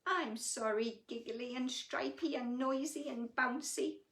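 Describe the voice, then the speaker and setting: A sensitive, cuddly male monster from a bedtime storybook, as a woman reads him. He is earnest and soft-hearted, with unhurried words that echo in the room. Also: high voice, far from the mic. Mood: sorry. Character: sensitive, cuddly, affectionate male monster who loves hugs.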